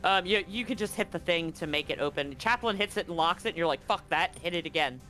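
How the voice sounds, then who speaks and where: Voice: mid-range voice, animated, fast, sharp tone, soft background music, low-quality recording. A game master narrating a live-streamed tabletop roleplaying game in an informal way, with casual swearing.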